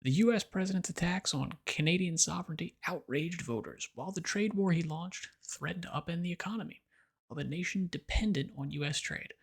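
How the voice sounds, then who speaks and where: A man's voice: a little high, a little fast, gravelly, slightly rough. An American man delivering a blunt, sarcastic opinion monologue as a political commentator. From United States. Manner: blunt and sarcastic, lecturing the listener.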